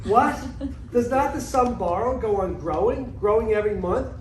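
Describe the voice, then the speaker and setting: A man's voice: high voice, expressive, moderate pace. A man acting a luckless, self-pitying moneylender in an amateur reading of a classical comedy, plaintive and melodramatic. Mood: aggrieved. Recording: echoey room, distant mic, faint noise.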